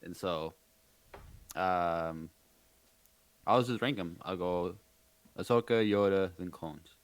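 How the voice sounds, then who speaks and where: Deep, slow-talking man. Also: dry recording, poor audio. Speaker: podcast co-host. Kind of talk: casual chat.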